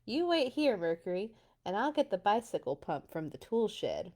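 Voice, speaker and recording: A woman's voice: medium pitch, sing-song, moderate pace, darkish tone. Storybook farmer, played by a female reader: grumbly, muttering, particular, plain country man. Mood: instructing.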